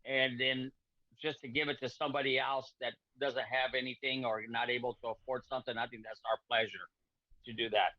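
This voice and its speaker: A man's voice: mid-range voice, steady tone, dry recording. Man from Portugal, middle-aged, repeats himself, dry muffled call audio. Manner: halting, repeats words, friendly. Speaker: guest, car repair shop owner. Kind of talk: casual video-call chat. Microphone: video call. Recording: dull lo-fi video-call audio.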